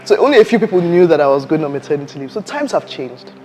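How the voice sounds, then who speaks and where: Woman interview guest, storyteller, animated, quotes others, faint hiss. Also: sits low, quick pace. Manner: animated, acts out quoted voices, laughs while telling.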